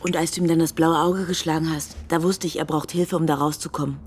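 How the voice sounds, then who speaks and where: A woman's voice: low voice, steady tone, fast, a little mellow, slight background noise, close to an everyday voice. An actress playing a scornful, indignant woman in a German radio drama, cutting and sarcastic as she accuses. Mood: accusing, bitter.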